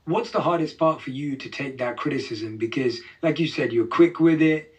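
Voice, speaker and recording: A man interviewing a guest, asking calm, probing and supportive questions. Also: mid-range voice, normal speed. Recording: dull, distant replayed interview audio.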